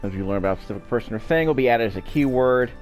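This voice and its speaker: A man's voice: mid-range voice, expressive, rapid-fire, a little mellow. A man casually commentating on a video game as its host, rambling off into asides. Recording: game music behind. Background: video game music.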